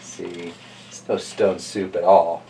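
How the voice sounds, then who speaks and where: A man reading a storybook aloud in an expressive, informal and chatty way. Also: low-pitched, slow pace, vocal fry, recorded from a distance, a little hiss or hum. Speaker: storybook reader and host. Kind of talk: storybook narration with casual asides.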